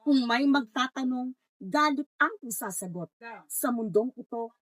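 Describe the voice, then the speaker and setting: A woman's voice: high voice, expressive, unhurried, no echo. A woman playing a menacing snake, henchwoman to a boss, declaiming threats in reader's theatre verse.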